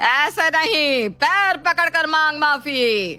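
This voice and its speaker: A woman voicing the domineering, taunting ghost of an older mother-in-law in a storybook drama, scolding in drawn-out phrases. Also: sits high, lively intonation, sharp tone, pitched above the performer's own voice, exaggerated voice. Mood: scornful, scolding. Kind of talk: Hindi moral storybook drama.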